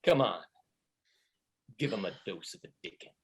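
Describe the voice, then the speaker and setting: A woman's voice: a bass voice, lively intonation, normal speed. A woman playing a foul-mouthed, defiant male sidekick in a comedy table read, with pleading, theatrical delivery. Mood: urging. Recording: video call.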